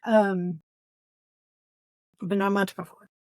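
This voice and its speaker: Woman podcast co-host, forties, casual book talk, halting and reflective delivery, close dry recording. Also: medium pitch, flat delivery, darkish tone, dry recording.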